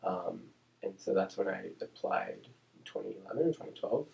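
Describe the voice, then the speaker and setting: A man who grew up in Oakland, California, with Spanish as his first language, reflecting on his life in an interview at a thoughtful, measured pace with frequent ums and restarts. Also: low-pitched, vocal fry, a little mellow, echoey room, a little hiss or hum. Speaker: interview guest, speech-language pathologist.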